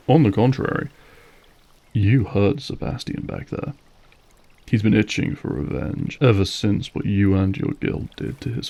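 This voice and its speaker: A man's voice: lowish voice, gravelly, warm tone, full character voice. A male actor playing a suave, menacing, manipulative vampire lord in an audio roleplay, silky, mocking and formal as he savors each phrase. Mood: cold persuasion. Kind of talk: scripted audio roleplay acting.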